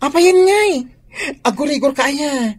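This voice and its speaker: An actress playing an earnest, fussing grown daughter in a radio drama, with an Ilocano accent. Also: female voice, high voice, animated, moderate pace. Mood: worried, fussing.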